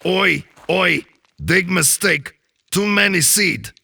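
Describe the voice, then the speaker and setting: Tiny scheming fairy, Russian accent, high voice, slow delivery, brash, broken English, cartoonish fantasy drama. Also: male voice, vocal fry, bright, forceful tone, breathy edge, dry recording, studio quality, exaggerated voice. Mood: alarmed. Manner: broken English, blunt exclamations. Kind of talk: animated fantasy audio drama acting.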